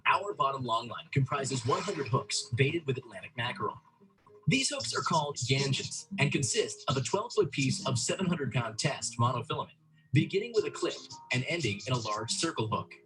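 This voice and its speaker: A man reading scripted narration for an explainer video in a steady, explanatory way. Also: medium pitch, moderate pace, faint music behind, low-quality recording.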